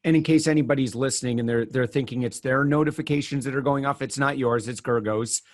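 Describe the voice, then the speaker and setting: A man's voice: medium pitch, fairly even intonation, fast. Male host, casual interview questions, chatty, laughs easily. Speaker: podcast host.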